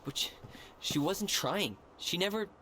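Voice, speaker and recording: A man's voice: sits high, animated, measured pace, pushed and bright, a little hiss or hum, exaggerated voice. A man playing a wounded, stubborn young man in naturalistic video game dialogue, earnest and blunt. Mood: bitter. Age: young adult.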